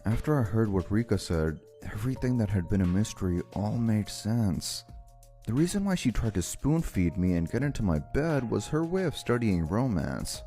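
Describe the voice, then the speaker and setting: A man's voice: lowish voice, very animated, normal speed, dark tone. A man playing an anxious, considerate young man in an anime-style romance dub, speaking earnestly and expressively with some hesitation. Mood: reflective narration. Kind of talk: anime-style dub acting and first-person narration. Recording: music bed behind the voice.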